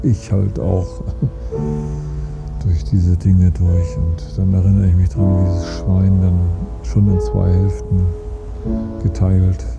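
A man calmly recalling a childhood memory in casual talk, halting with fillers and pauses. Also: sits low, creaky, lo-fi sound, over loud music. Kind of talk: casual spoken recollection.

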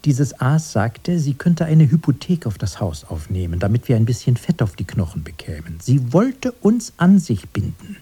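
An actor playing a querulous, self-important brother in a German radio drama, breathy and emphatic, given to pedantic declaiming about old grievances. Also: male voice, mid-range voice, wildly swooping pitch, normal speed, hardly any pauses, dark tone, exaggerated voice. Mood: bitter.

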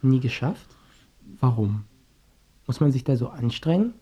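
A man's voice: mid-range voice, expressive, measured pace, mellow, slightly rough. An actor playing a curious, earnest teenage boy in a radio drama, with a questioning manner.

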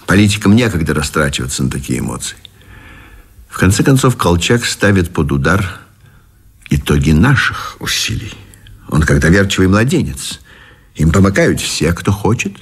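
Cynical bossy general in a radio drama, husky, big swings in pitch, declaiming. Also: male voice, big pitch swings, moderate pace, full character voice. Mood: scornful. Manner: sardonic, declamatory, emphatic. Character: scornful, cynical, domineering army general, middle-aged man. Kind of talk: Russian radio drama acting.

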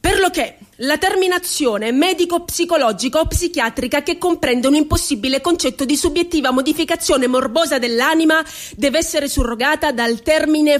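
A woman's voice: high voice, fairly bright tone, voice pushed up. A woman voicing a pompous nineteenth-century male psychiatrist, declaiming formally in a theatrical radio drama.

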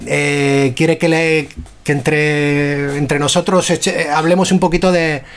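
A man hosts a casual radio chat, speaking in a notably high voice. He talks haltingly, with frequent pauses and restarts. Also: little pitch movement. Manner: hesitant, many fillers and restarts.

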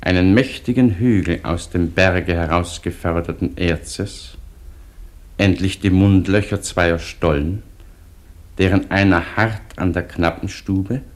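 A man playing a sober narrator, reading scripted literary description in a radio play. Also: deep voice.

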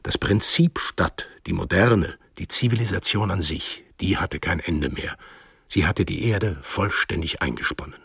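A man narrating a literary radio drama in German as a grave, measured storyteller. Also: low-pitched, gravelly, fairly bright tone, slightly rough, no echo, clean studio sound, heavily acted. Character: solemn storyteller narrating a literary eco-thriller.

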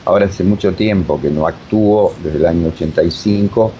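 An older man with an Argentine Spanish accent answers interview questions conversationally, in a halting, digressive way, often repeating himself. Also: warm tone. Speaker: interviewed theater director and voice teacher. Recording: dull sound with faint rumbling noise.

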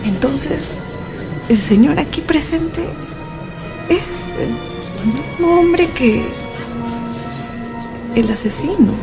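An actress playing a shaken witness who testifies in a halting, solemn, emphatic way in a radio drama. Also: female voice, high-pitched, expressive, gravelly, music in the background, full character voice. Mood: shaken, accusing.

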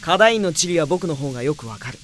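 A male actor playing a gentle, cautious young mage in an audio drama, soft-spoken, earnest and considerate. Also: fairly high pitch, animated, fast talker, slight background noise. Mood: worried, cautious. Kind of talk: Japanese anime audio drama acting.

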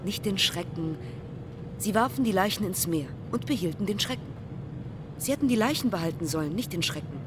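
An actress in a radio play as an earnest, insistent young woman with deliberate, precise phrasing. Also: medium pitch, expressive, noisy background, lightly acted. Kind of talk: German radio play dialogue and recitation. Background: music.

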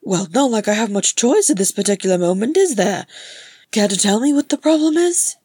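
A woman voicing a lively, teasing younger dwarf brother, chattering and exclamatory, in an audiobook-style story. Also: mid-range voice, extremely slow, no echo, studio quality. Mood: indignant.

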